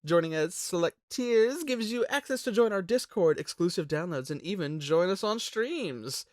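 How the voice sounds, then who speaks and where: A man hosting a scripted promotional outro as a voice actor, in a showy, animated manner. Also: high-pitched, moderate pace, no echo, studio quality. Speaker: channel host and voice actor.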